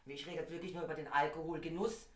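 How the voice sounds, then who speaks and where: A middle-aged woman, slightly hoarse from a cold, chatting in an opinionated way as a podcast co-host. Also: low voice, fairly even intonation, quick pace, echoey room. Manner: chatty, opinionated, laughs easily. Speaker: podcast co-host presenting a topic. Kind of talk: casual opinion chat.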